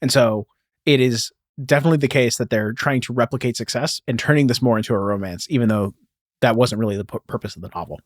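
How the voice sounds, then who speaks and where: A man's voice: medium pitch, fast talker, no room sound, studio quality. A man hosting a podcast, telling a story in a casual, informal way peppered with "like" and "you know.". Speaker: podcast host.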